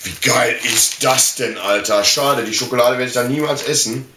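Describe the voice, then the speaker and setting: A man's voice: medium pitch, normal speed. A middle-aged man from Germany chatting casually and slangily as he hosts an unboxing video, rambling informally, picked up by a camera mic in a small room. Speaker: video host. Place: small indoor room full of collectibles. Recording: roomy echo, slight background noise.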